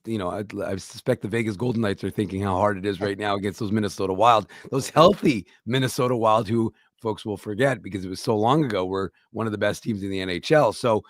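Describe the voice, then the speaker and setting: A man's voice: fairly low pitch, dry recording, clean studio sound. A man co-hosting a hockey talk show, giving emphatic, opinionated takes in casual chat.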